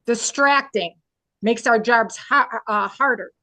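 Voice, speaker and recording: A woman playing a tough, streetwise grade-school teacher in a sitcom table read, blunt and deadpan. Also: a little high, slow, no echo. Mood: dry, blunt.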